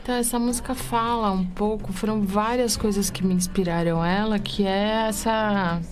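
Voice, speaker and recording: A woman's voice: medium pitch, steady tone, normal speed, soft background music. A woman singer-songwriter answering interview questions on the radio in a thoughtful, hesitant way with many fillers.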